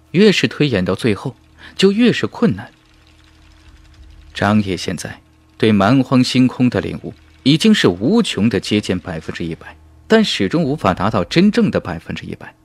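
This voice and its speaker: A man's voice: mid-range voice, dry recording. A man reading a fantasy novel as an audiobook narrator in an emphatic, suspenseful, dramatic style.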